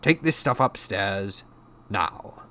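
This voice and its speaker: A man voicing a blustering, bullying middle-aged uncle in an audiobook, angry and panicky, with a croaking, sneering delivery. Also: mid-range voice, lively intonation, slow, dry recording, voice pushed up, full character voice. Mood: snappish. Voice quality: croaking, forceful. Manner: sneering, snapping, roaring. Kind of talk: audiobook character voice.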